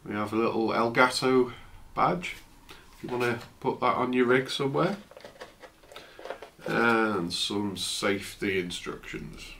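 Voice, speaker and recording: A man in his fifties, croaky from a flu, chatting casually through an unboxing in his home office, recorded on a camera's built-in mic. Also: medium pitch, unhurried, slight background noise. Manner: relaxed, chatty, trails off. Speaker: tech vlogger. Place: home office, indoors, seated at a desk.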